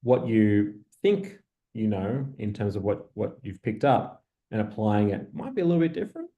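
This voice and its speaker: A man answering an industry question in an online info session, pausing often and restarting. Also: lowish voice, sing-song, narrow, tinny audio. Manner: halting, full of ums and restarts. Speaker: industry partnerships staff member.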